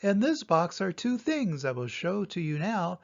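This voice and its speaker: A man's voice: high-pitched, very animated, a little slow, creaky, lo-fi sound, heavily acted. A man voicing a mischievous, boastful cat in a storybook, with a showy, eager, emphatic delivery.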